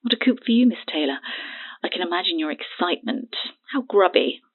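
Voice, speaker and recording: A woman's voice: on the low side, lively intonation, fairly quick, breathy edge. Haughty, needling woman on the phone. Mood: scornful, recounting. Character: Birdie, cagey mocking woman selling her testimony. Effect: phone line filter. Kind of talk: naturalistic audio drama acting. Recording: tinny narrow phone line.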